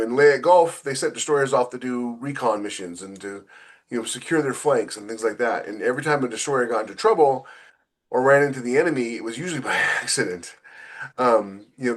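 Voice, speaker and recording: Male podcast co-host, novelist, casual chat, halting delivery, repeated phrases, thinking out loud. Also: medium pitch. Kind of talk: casual talk about own books.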